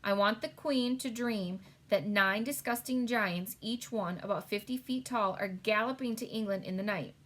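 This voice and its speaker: A woman voicing a clever, excited little storybook girl who gives emphatic instructions. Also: mid-range voice, moderate pace, hardly any pauses, lightly acted. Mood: insistent.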